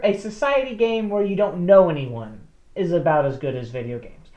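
A woman co-hosting a casual podcast about tabletop games, animated and laughing often as she talks. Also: fairly low pitch. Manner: laughs often, animated, restarts mid-sentence. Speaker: podcast co-host. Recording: roomy, dull lo-fi sound.

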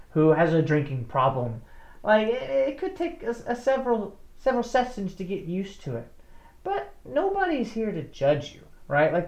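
A woman co-hosting a casual podcast about tabletop games, animated and laughing often as she talks. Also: mid-range voice. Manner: laughs often, animated, restarts mid-sentence. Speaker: podcast co-host. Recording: roomy, dull lo-fi sound.

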